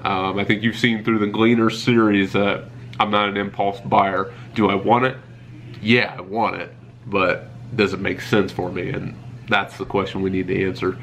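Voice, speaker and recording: A man's voice: lowish voice, little pitch movement, moderate pace, fairly bright tone, a little hiss or hum. A young man with a cold and a stuffy nose, casually walking viewers through his thinking as a farmer vlogger, with lots of ums and self-corrections. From Midwestern United States. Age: young adult. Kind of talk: casual walkthrough talk to viewers.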